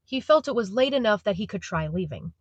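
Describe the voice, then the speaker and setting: A woman's voice: medium pitch, expressive, fairly quick, slightly rough, no room sound, lo-fi sound. A woman giving scripted third-person narration for an audio drama.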